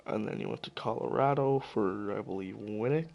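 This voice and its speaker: A man giving casual, profane solo commentary while slowly losing his voice, stumbling over names as he goes. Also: fairly low pitch, vocal fry, a little mellow. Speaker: hockey video commentator.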